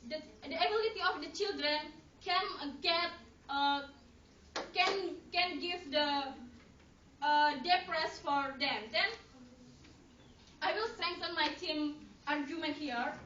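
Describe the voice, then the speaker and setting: A woman giving a student debate speech, halting and full of fillers and restarts in non-native English, heard from far off in an echoey hall on a dull lo-fi recording. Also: high voice, pushed and bright, a little hiss or hum. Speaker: debater, third speaker of negative team.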